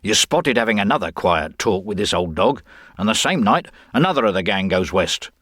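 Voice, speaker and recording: A man's voice: on the low side, sing-song, normal speed, fairly bright tone, no echo, clean studio sound. A man as a growling, suspicious gangster. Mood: accusing. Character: scowling, suspicious male gangster, a minor leader.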